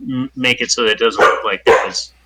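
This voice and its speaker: A man's voice: mid-range voice, steady tone, brisk, very noisy. A man playing a tabletop role-playing game, talking hesitantly and putting himself down. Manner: hesitant, self-deprecating. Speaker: player. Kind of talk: casual game chat.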